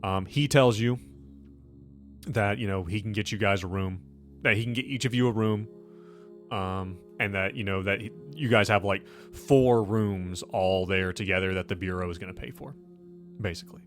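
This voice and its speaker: Guy with a low voice game mastering, making up the story as he goes, faint music under him. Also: normal speed. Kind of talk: narrating a tabletop game, improvised.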